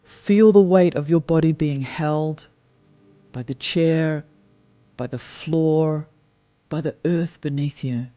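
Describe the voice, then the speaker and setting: A man leading a guided meditation in a slow, soft, soothing manner, gently counting breaths. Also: a little high, no echo, dull audio.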